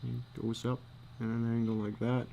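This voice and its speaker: A man giving a casual think-aloud commentary while he draws, muttering to himself in short, halting phrases. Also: mid-range voice, little pitch movement, vocal fry, dark tone, low-quality recording. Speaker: storyboard artist giving a drawing demonstration. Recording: faint background noise.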